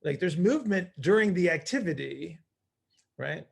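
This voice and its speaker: A man's voice: fairly high pitch, expressive, normal speed, vocal fry, slightly rough. A man leading an informal group call as coach, teaching emphatically by posing questions and answering them himself. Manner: emphatic, asks and answers own questions, often checks with "right?".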